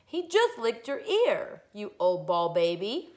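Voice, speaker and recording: A woman's voice: medium pitch, huge pitch swings, slow pace, a little mellow. A woman voicing a cheeky, boisterous schoolchild in a storybook, blurting things out eagerly and teasingly. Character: boisterous schoolchildren siblings, aged six to eleven, cheeky, teasing.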